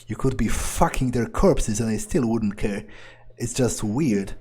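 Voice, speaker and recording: A man's voice: mid-range voice, unhurried, warm tone, husky. A man doing in-character gameplay commentary, thinking aloud in a nonchalant, rambling way with casual swearing. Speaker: let's player.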